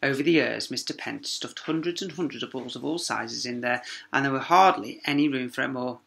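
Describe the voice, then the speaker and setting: A man's voice: medium pitch, creaky, fairly bright tone. A man reading a children's story aloud like a primary teacher, dramatic and emphatic. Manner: dramatic, with emphatic pauses and sound words.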